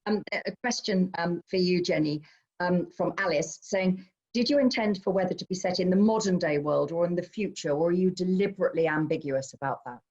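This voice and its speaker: A woman's voice: lowish voice, brisk, darkish tone, dull audio. A middle-aged woman hosting a literary panel, chatty as she passes on questions from the audience. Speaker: host chairing an author question session. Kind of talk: relaxed literary panel moderating.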